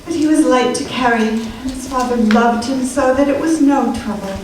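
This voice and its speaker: A woman's voice: high-pitched, moderate pace, a little mellow, heavy reverb, far from the mic, a little hiss or hum, natural voice. An actress playing a grieving mother of a poor family, tender and mournful, in 1930s radio drama acting, heard on an old broadcast recording.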